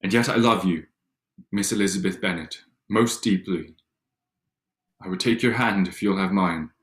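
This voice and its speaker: A man acts out a proud, wealthy young gentleman of Regency drama. The voice is low and rings in an echoey room. He speaks stiffly and formally, haltingly, like an awkward suitor. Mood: ardent, strained. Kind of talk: staged reading of a period drama. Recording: echoey room over online call.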